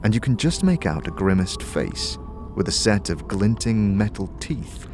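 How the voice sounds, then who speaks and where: A man narrating a fantasy audio drama in the second person, with a slow, grim and deliberate delivery. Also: on the low side, very animated. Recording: faint music underneath.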